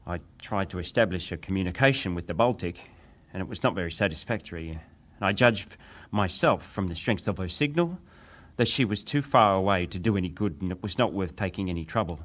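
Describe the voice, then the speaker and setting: A deep-voiced young ship's wireless operator testifies at a dramatized hearing. He answers with measured deference, sometimes hesitating, as a survivor choosing his words. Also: animated, a bit bright. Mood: subdued, formal.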